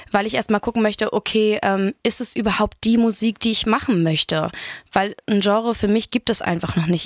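A woman's voice: mid-range voice, no room sound. Young woman singer chatting in an interview, lots of ums, dry close sound. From Germany. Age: 20s. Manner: chatty, with many fillers. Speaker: singer and guest. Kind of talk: casual interview talk.

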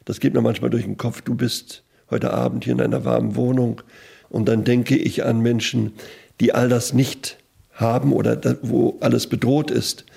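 Churchman interviewee: heavily creaky, hoarse-edged rasp, medium pitch, earnest and reflective. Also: warm tone. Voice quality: very gravelly, raspy. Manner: earnest, pausing to think. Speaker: auxiliary bishop.

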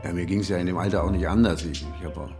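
A man's voice: low-pitched, steady tone, racing. A man in his fifties acting in a radio drama as an ageing, fussily articulate editor who thinks aloud hesitantly. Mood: musing. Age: 50s. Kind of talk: naturalistic German radio drama dialogue. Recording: music behind the voice.

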